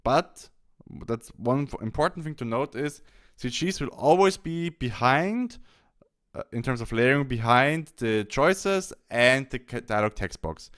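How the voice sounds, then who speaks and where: Male narrator, software tutorial, step-by-step explaining, crisp voice, mid pitch. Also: animated, a little slow. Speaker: tutorial host. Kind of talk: software tutorial narration over screen recording.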